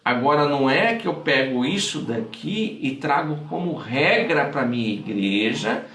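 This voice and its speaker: Gravelly Brazilian pastor preaching from afar. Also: male voice, medium pitch, animated, unhurried, a bit bright. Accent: Brazilian Portuguese. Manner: conversational preaching, repeats phrases for emphasis. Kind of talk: livestream sermon. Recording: echoey room, distant mic, faint noise.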